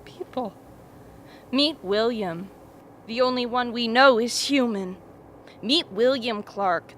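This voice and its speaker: Woman doing a tough sarcastic crew leader, sharp highish voice, radio play, slight background hum. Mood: sardonic, commanding. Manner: sardonic, authoritative. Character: commanding, sardonic expedition leader among frightened crew. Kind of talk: radio drama acting.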